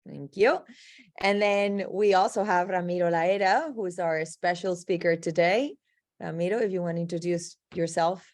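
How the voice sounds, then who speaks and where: A woman's voice: on the low side, fairly even intonation, normal speed, a little mellow. A woman hosting a graduate program webinar over a video call, explaining at length with frequent um fillers. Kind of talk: informational presentation on a video call.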